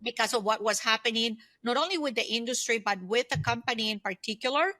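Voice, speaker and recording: A woman's voice: fairly high pitch, fast, sharp tone, no echo. A woman in her fifties giving reflective, conversational answers as an interview guest. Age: 50s. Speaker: podcast guest, former executive turned solopreneur.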